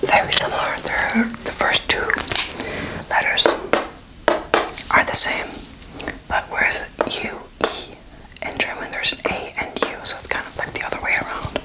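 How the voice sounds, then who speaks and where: A woman's voice: normal speed, pauses often, rough recording. A woman in her twenties giving an ASMR language lesson in a whisper, casual, playful and encouraging as she teaches. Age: 20s. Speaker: host teaching beginner German.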